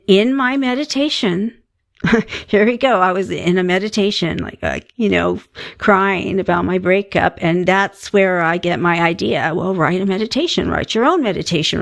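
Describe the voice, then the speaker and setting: Middle-aged woman, calming voice, halting casual monologue with many fillers, very slow. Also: on the low side, dry recording, studio quality. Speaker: meditation channel host.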